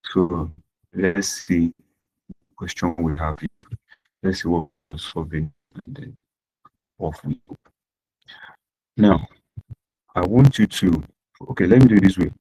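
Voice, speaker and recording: A man's voice: low-pitched, a little slow, long pauses, mellow, slightly rough, rough recording. A man teaching accounting in an online class, repeating his points and asking the class rhetorical questions. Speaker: accounting lecturer. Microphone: online class audio.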